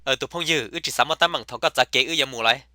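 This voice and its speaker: A man voicing a comic male cartoon character who chatters in a rapid, emphatic way. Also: mid-range voice, very noisy, close to an everyday voice. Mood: chatty.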